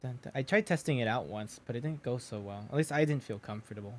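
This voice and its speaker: A man with a deep voice chatting casually with his stream viewers, joking and reading their messages aloud. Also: fast talker.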